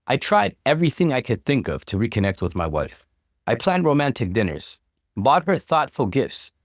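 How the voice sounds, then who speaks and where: A man's voice: medium pitch, dry recording, studio quality. A man reading a first-person relationship story as a dramatic scripted narration, acting out the emotion expressively. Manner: expressive, acts out dialogue.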